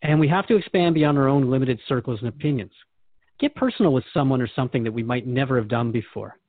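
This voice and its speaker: A man's voice: medium pitch, fast talker, no echo. A man hosting a radio conversation, engaged and reflective, asking questions and paraphrasing what he hears. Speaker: radio show host. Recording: dry, thin phone-like band.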